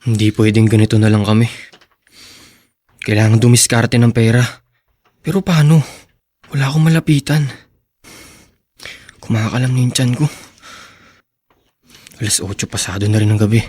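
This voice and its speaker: An actor playing a poor, desperate young husband who works as a porter, in a Tagalog radio drama. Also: male voice, mid-range voice, moderate pace, long pauses, a little mellow, lightly acted. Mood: desperate, brooding.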